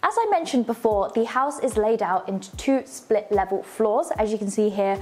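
A woman's voice: medium pitch, expressive, moderate pace, a little mellow. A young woman with an enthusiastic, polished delivery, presenting a house tour. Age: young adult. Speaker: presenter of a luxury home tour show. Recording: light background music. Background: faint music.

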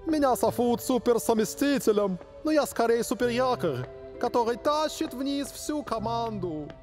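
Anxious, high-voiced young footballer. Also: male voice, lively intonation, moderate pace, a little mellow, faint music behind. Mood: dejected. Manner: hesitant, dejected. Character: anxious, self-doubting young male footballer.

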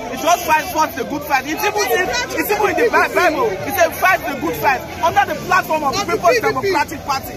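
A man's voice: extremely high pitch, quick pace, few pauses. A man in his thirties from Edo State with a Nigerian English accent, talking fervently into a phone held at arm's length at a busy outdoor street rally, stumbling and repeating himself. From Benin City, Edo State, Nigeria. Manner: fervent, repetitive, stumbles over words. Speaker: party supporter at a street rally. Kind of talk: excited street interview. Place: busy roadside outdoors beside a fence. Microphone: phone selfie at arm's length. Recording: loud cheering crowd behind.

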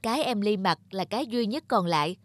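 A woman voicing a gentle, patient mother of young girls in a cartoon. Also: medium pitch, expressive, fairly quick, dry recording, close to an everyday voice. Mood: gentle explaining. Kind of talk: cartoon voice acting.

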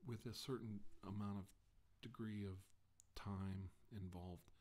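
A middle-aged man playing a grave scientist giving a hushed, hesitant deposition to an official in a quiet ASMR role-play. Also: on the low side, fairly even intonation, unhurried. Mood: grave, measured. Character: grave scientist briefing a navy official after captivity.

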